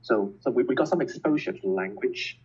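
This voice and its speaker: Muffled lo-fi recording, man, Cantonese, very raspy medium-pitched voice, measured explanatory interview answers. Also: animated, a little mellow. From Hong Kong. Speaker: podcast guest, scientist and educator. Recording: dull, muffled lo-fi sound.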